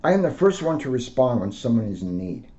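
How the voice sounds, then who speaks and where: A man, a police officer, reading aloud to children in simple, deliberate phrases. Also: mid-range voice, big pitch swings, warm tone. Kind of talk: read-aloud storytelling with casual asides. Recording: dull lo-fi sound.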